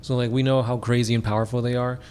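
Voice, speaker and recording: A man co-hosting a casual podcast about a tabletop war game, answering fan questions in a relaxed way, full of "like" fillers and quick laughs. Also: mid-range voice, a little hiss or hum. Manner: laid-back, many "like" fillers, laughs often. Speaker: podcast co-host.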